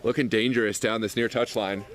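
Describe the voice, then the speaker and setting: A man doing loud live play-by-play for an amateur soccer match from the sideline, his voice lifting on attacking moves. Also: fairly low pitch, a little fast. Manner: loud, excitable calls on attacks. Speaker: play-by-play commentator. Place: outdoors at a soccer field sideline. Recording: outdoor field with crowd noise. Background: crowd.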